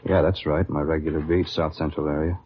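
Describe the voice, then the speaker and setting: A man's voice: very deep voice, little pitch movement, brisk, a little hiss or hum. A man playing a plain-spoken, guarded beat patrol officer in a 1950s radio drama, talking in casual, matter-of-fact police slang. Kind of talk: 1950s radio acting, conversational dialogue. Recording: dull, lo-fi old radio recording.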